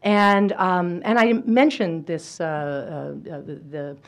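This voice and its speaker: A woman's voice: on the low side, animated, slow pace. A middle-aged woman giving an academic history lecture in a lecture hall, talking thoughtfully with fillers and restarts.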